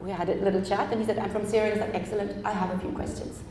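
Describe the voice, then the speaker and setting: A woman's voice: medium pitch, flat delivery, rapid-fire, mellow, slight background noise. A woman from Germany, a photographer, talking chattily about her project at a public event, laughing often and acting out quoted dialogue. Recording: echoey hall, faint ambience.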